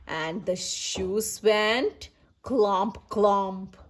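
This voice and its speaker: A woman reading stories aloud for children as the host, animated and emphatic with repeated words. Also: medium pitch, drawn-out words. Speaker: children's story reader and host.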